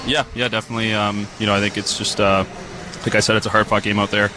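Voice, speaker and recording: A man in his twenties, a pro athlete, answering casually and haltingly with many you-knows. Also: on the low side, level tone. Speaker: professional hockey player being interviewed. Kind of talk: casual sports interview. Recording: noisy arena interview.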